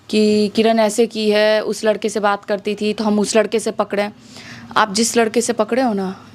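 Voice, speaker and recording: A woman with a Bihari accent giving her account as an interview guest, indignant and challenging, often using rhetorical questions. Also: medium pitch. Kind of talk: conversational interview testimony.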